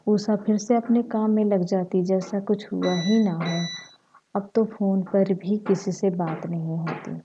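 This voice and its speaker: A woman with a slightly husky voice, narrating a short story. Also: medium pitch, fairly even intonation, a little slow, lo-fi sound, background noise.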